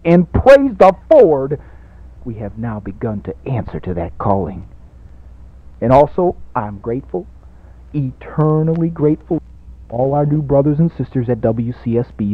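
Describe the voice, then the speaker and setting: A man's voice: medium pitch, close to an everyday voice. A man playing a grandiose, money-hungry evangelist in a parody radio sermon, with an emphatic, repetitive preacher's cadence. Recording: narrow-band 1980s college radio recording.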